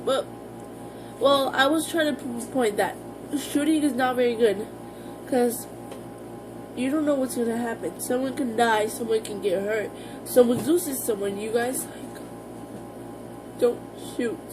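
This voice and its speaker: An American woman talking casually to her viewers in an informal, halting, rambling vlog style. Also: on the high side, music in the background, low-quality recording. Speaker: vlogger.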